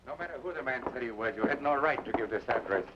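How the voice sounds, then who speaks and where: A stern, formal bandit captain played by a man in an old radio drama. He talks quickly in a clipped way. The muffled recording is echoey, with clear hiss. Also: mid-range voice, lively intonation, recorded from a distance, lightly acted. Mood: scornful.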